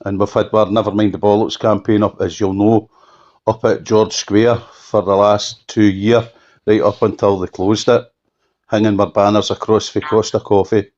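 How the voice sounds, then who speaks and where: A Scottish man giving an unscripted telephone interview, halting and often repeating himself for emphasis. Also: on the low side, little pitch movement, a little mellow. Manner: halting, repetitive, emphatic restatements. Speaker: campaigner being interviewed. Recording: dull lo-fi phone call.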